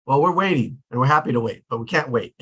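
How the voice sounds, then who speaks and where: A man's voice: medium pitch, expressive, a little fast, a bit bright, slightly rough. A man hosting an online get-together on a video call, introducing guests in casual chat. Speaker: host.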